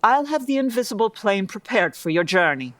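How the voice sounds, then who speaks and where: A woman's voice: mid-range voice, sing-song, brisk, a bit bright, no room sound. A woman playing a dignified, exasperated Amazon queen with a formal, stern manner in a comedy audio drama. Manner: formal, stern, long-suffering.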